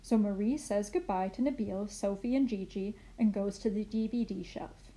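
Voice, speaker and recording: A woman reading a scripted children's story as narrator, in an expressive storytelling manner. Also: medium pitch, moderate pace, low-quality recording. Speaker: narrator and reader.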